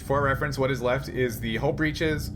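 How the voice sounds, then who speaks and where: A man's voice: mid-range voice, moderate pace, over music. A man acting as game master for a tabletop sci-fi game, explaining things casually and a bit hesitantly.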